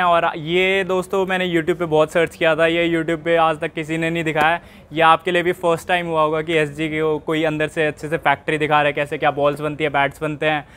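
A man's voice: on the high side, little pitch movement, a little fast, hardly any pauses, a bit bright. A young man, hosting and narrating a tour-style vlog, addressing viewers directly like friends. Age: young adult. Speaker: vlog host.

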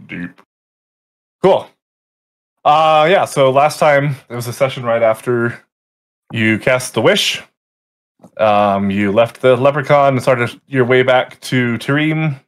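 A man's voice: mid-range voice, slow, pauses often. A man running a tabletop game as game master, casually recapping the last session with frequent self-corrections, over a voice call.

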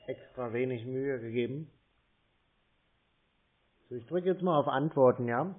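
A man in a casual phone conversation, muttering along slowly as if fumbling with a task. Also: mid-range voice. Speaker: scambaiter taking a scam call. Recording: narrow phone-like sound, faint clicky noise.

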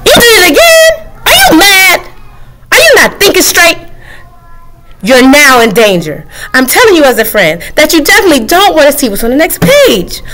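A woman voicing a frantic, pleading male monster from a picture book, shrill and desperate. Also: sing-song, normal speed, fairly bright tone.

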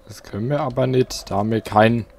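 A man's voice: mid-range voice, quick pace, creaky, a little hiss or hum. A man hosting a let's play, chatting casually and thinking aloud while he plays, seated at home. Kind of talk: casual gameplay commentary.

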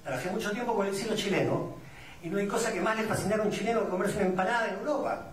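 A man's voice: high-pitched, rapid-fire, a loud crowd. A middle-aged man with a Peruvian accent speaking at a public forum, reflective and didactic, posing rhetorical questions. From Peru. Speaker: migrant community representative speaking on a panel. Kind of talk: public talk at a discussion forum. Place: indoor event room at a public forum. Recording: echoey room, distant mic, audience sounds.

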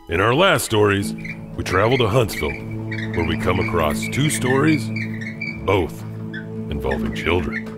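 Deep male narrator over loud music. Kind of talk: scripted spooky documentary narration.

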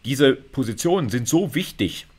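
A man's voice: medium pitch, lively intonation, normal speed, husky. A middle-aged man giving an informal explanatory lecture, talking conversationally with frequent little check-in tags. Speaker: university lecturer.

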